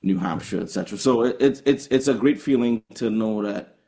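A man's voice: lowish voice, brisk, warm tone. A man with a Trinidadian accent, a touring jazz musician answering interview questions earnestly, often restarting his phrases.

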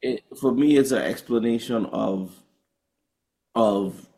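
A man's voice: medium pitch, slow pace, gravelly, dark tone. A man with a Trinidadian accent, a touring jazz musician answering interview questions earnestly, often restarting his phrases.

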